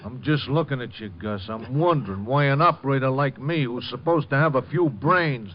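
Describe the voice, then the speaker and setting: An actor playing a cruel gangster killer, taunting and bossy in tough slang, in old radio crime drama style. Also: male voice, medium pitch, moderate pace, lightly acted. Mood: sneering menace. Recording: dull old 1950 radio broadcast.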